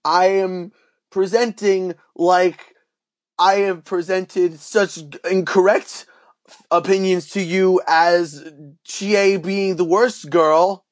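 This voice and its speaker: A man acting as a naive Canadian oddball on a comedy podcast. His voice sits high and he takes his time, rambling in a folksy, earnest way with a put-on Canadian accent. The sound is very dry. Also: level tone, takes it slowly, no room sound. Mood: insistent. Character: dim, earnest Canadian man with absurd opinions. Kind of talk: comedy podcast character banter.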